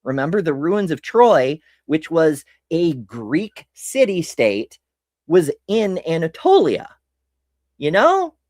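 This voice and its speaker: Lively American voice, rambling history talk. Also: medium pitch, slow, no room sound, clean studio sound. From United States. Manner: rambling, self-interrupting, swears casually. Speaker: history video host. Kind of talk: casual educational commentary.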